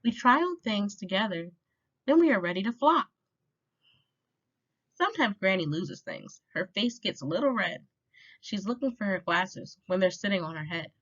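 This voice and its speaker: A woman reading a children's rhyming picture book aloud in an expressive, clearly paced way, as a storytime reader. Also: medium pitch, moderate pace, long pauses. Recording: dull lo-fi sound.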